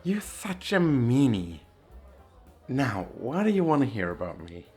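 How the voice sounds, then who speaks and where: A man's voice: medium pitch, big pitch swings, unhurried, dark tone, faint music behind. Man playing a theatrical, swooping vain male model. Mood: cocky, teasing. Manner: theatrical, teasing, self-absorbed. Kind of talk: ASMR roleplay.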